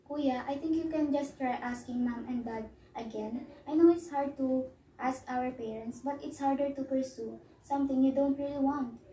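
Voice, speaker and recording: Young woman acting earnest younger sister, very high mellow voice, amateur melodrama, distant echoey room. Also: normal speed, lightly acted. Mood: encouraging. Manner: earnest, encouraging. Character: supportive, concerned younger sister. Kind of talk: amateur one-act melodrama. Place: small living room, indoors. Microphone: far camera. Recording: echoey living room, distant mic, faint noise.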